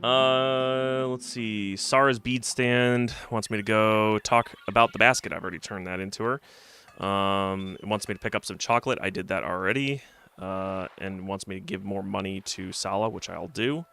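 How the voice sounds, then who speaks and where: Stuffy, gravelly-voiced man streaming a game. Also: lowish voice, fairly even intonation, moderate pace, pushed and bright. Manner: rambling, thinking aloud with many fillers. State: slightly stuffy from a cold. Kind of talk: casual let's-play commentary. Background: game music.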